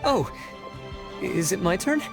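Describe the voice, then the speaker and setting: A timid, meticulous male clerk in a video game, awkward and halting as he speaks. The delivery is very slow and careful, with background music clearly audible. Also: high-pitched, big pitch swings, heavily acted. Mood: nervous. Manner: hesitant, halting, fussily precise. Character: meek, awkward, meticulous male civil servant.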